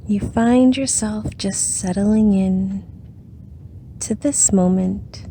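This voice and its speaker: Woman, meditation guide, very slow pace, mellow tone, soothing, long pauses, light background noise. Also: mid-range voice.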